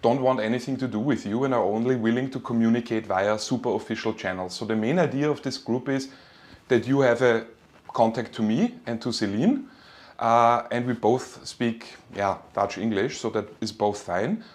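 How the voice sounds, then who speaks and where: A man's voice: medium pitch, normal speed. Informal male lecturer. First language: German. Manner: friendly, encouraging, informal address. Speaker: university finance lecturer. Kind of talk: live online lecture, informal.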